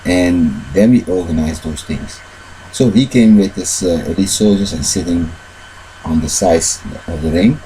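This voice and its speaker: A man telling a long, rambling personal story in halting English, with many fillers and loose grammar. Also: low-pitched, dark tone, a little hiss or hum, poor audio. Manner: retells events with shifts into quoted dialogue.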